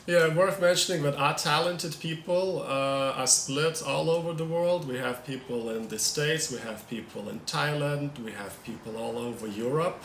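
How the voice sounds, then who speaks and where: A man's voice: a little high, moderate pace, hardly any pauses, a bit bright. A man from Lithuania with a Lithuanian accent, a tech team lead chatting relaxedly in an interview. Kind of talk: casual interview answers. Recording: echoey room with faint noise.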